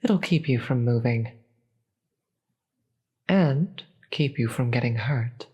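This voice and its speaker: A performer as a slow, husky, world-weary demon queen. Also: mid-range voice, animated, a little mellow, muffled recording. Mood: condescending, amused. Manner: theatrical, formal, slow deliberate delivery. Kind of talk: scripted audio roleplay.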